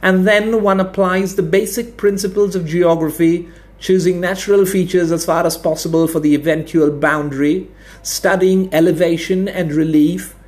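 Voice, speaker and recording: A man putting on a pompous, high-voiced stout academic. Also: fairly even intonation, normal speed, a little mellow, close to an everyday voice. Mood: smug lecturing. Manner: prim, self-satisfied lecturing, stammers when flustered. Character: stout bespectacled male academic mapmaker, pompous. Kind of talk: audiobook character voice.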